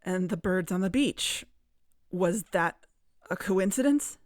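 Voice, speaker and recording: A woman's voice: on the low side, animated, takes it slowly. A woman playing a guarded, distrustful investigator in an audio drama, with a terse, serious, naturalistic delivery. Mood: doubtful.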